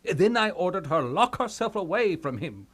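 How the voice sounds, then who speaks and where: A man's voice: sits high, big pitch swings, normal speed, husky, heavily acted. A man playing a fussy, pompous old royal counselor who rambles and dodders in a theatrical Shakespeare puppet show. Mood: scheming.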